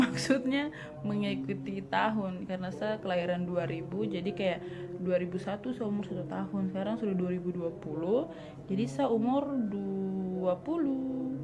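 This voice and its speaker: Blunt young Papuan woman vlogging. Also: medium pitch. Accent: Papuan Indonesian. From Papua, Indonesia. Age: 20s. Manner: blunt, teasing, mixes in English words. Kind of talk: casual Q&A vlog.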